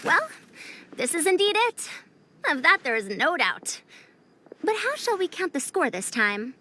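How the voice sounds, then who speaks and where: Game scholar with elder airs, formal, calls people youngster, bright and animated. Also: female voice, very high voice, measured pace, slight background noise, full character voice. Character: youthful female scholar with old-fashioned elder airs. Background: soft game music.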